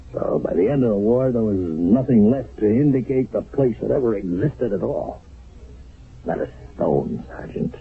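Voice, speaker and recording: An actor playing a stern, skeptical wartime army captain in a radio drama, commanding, clipped and sardonic. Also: male voice, lowish voice. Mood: grave, measured. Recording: narrow, phone-like vintage radio broadcast.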